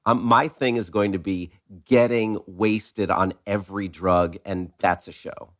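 Raspy-voiced guy cohosting a streaming podcast, lowish voice, goofy and loose. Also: steady tone, a little slow, a bit bright. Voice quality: raspy today. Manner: jokey, swearing, acts out silly quotes. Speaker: podcast co-host. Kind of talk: casual comedic chat.

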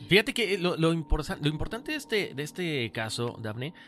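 A man co-hosting a casual talk show, chatting in an animated way. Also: on the high side, normal speed, soft background music. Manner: animated, chatty, talks to the co-host by name. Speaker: co-host. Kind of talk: casual chat.

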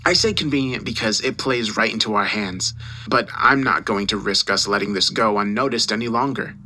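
A man acting as a domineering newspaper boss in an audio drama. He is forceful and condescending, with a bright, hard-edged delivery that mocks and bullies his employee. Faint noise sits behind the voice. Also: lowish voice, moderate pace. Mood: intense, persuasive. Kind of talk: dramatised audio story dialogue.

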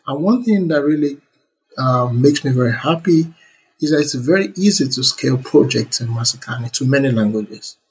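A young man answers interview questions with earnest enthusiasm. His voice is very husky and breathy, and his pitch sits mid-range. He fills his answers with uh and um as he talks. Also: expressive, moderate pace, darkish tone, poor audio. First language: Yoruba. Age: young adult. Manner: earnest and enthusiastic, many fillers. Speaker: interview guest, computer scientist. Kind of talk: relaxed conversational interview answers.

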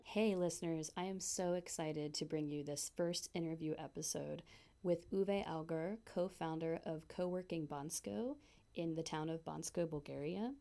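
A woman hosts a casual podcast interview, upbeat and chatty. Her voice sits on the low side for a woman. Faint guitar music plays softly behind her. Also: moderate pace, creaky. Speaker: podcast host.

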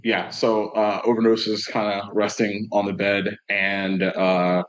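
A man's voice: lowish voice, monotone, unhurried, muffled recording. A man playing a tabletop game, describing scenes in a rambling, casual way with many fillers. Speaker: player.

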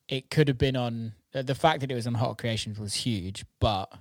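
Guy hosting a podcast, informal rambly chat, mid pitch, really dry close mic. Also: moderate pace, dry recording. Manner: informal, rambling, occasional swearing. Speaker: podcast host and music producer who runs a record label. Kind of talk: casual podcast chat about the music scene.

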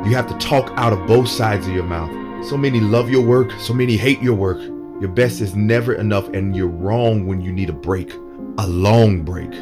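A man's voice: on the low side, normal speed, over music, lightly acted. A man playing a proud, embattled senator in an audio drama, earnest and conversational, defensive and weary. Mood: weary, frustrated.

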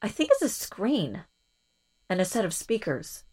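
A woman's voice: mid-range voice, big pitch swings, measured pace, a little mellow, slightly rough. A woman acting a drowsy, sensible, uneasy character trapped in a capsule in an audio drama, hesitant and full of exclamations. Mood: puzzled, hazy.

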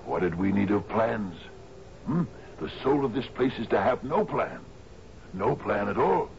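A man's voice: on the low side, animated, measured pace, gravelly, darkish tone. An actor in a radio drama playing an expansive, dreamy sea captain in his fifties, musing theatrically with questioning little grunts. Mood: fervent nostalgia. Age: 50s. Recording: dull, noisy 1970s radio recording.

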